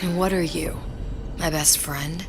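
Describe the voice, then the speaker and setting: A woman's voice: low voice, steady tone, a little slow, gravelly, soft background music. An actress playing a clever, defiant young woman in a video game, earnest and intent. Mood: grim resolve. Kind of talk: video game dramatic dialogue.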